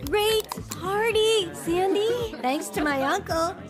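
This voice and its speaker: A high, swooping teenage girl in a radio drama, reckless and eager to please. She draws her words out slowly, with music playing underneath. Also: animated, exaggerated voice. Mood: laid-back party mood.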